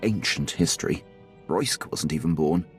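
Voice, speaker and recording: An actor playing a dutiful Imperial military captain in a video game, speaking in a formal, clipped, restrained way. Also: male voice, rumbling low, normal speed, breathy edge, soft background music, full character voice. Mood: composed, dry.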